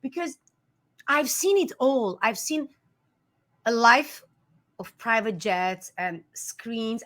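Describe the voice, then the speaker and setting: A woman's voice: fairly high pitch, fairly bright tone. A woman whose first language is Armenian, an author and life coach, talking earnestly in an interview with frequent restarts. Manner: earnest, emphatic, many restarts. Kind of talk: conversational interview.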